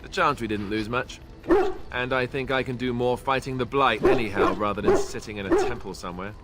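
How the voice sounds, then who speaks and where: A man's voice: medium pitch, brisk, very noisy, close to an everyday voice. A man voicing a young male warrior and former templar trainee in a fantasy video game, speaking with wry, self-mocking humor. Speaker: companion character in a video game. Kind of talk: voiced game dialogue. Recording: game audio over background music. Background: game soundtrack music.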